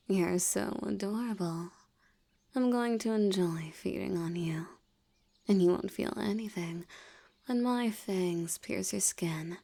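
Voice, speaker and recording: A woman voicing a seductive, commanding vampire hypnotist in an intimate audio roleplay, soft and breathy, often near a whisper, with a teasing, coaxing manner. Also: mid-range voice, heavily acted. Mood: amused, teasing. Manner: slow, coaxing, teasingly dominant.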